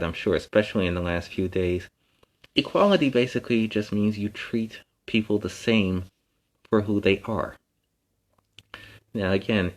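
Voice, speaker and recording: A man takes on the role of a friendly, earnest superhero hosting an online story time for children. His voice sits fairly low. He explains things gently and informally, like a mentor talking to young viewers. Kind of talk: informal talk to an online audience.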